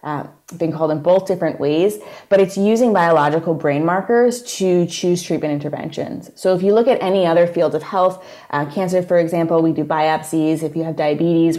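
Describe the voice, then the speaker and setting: Low-voiced woman with a New York accent. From New York area, USA. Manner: explanatory, steady, plain-spoken. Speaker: guest psychologist. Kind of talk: casual interview conversation.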